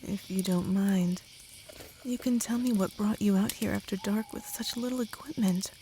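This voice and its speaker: A woman playing a kind, protective traveller in a gentle ASMR comfort roleplay, speaking softly with some whispering and reassuring slowly. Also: mid-range voice, fairly even intonation, slight background noise, close to an everyday voice, low-quality recording. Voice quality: soft, partly whispered. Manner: gentle, slow, soothing, reassuring.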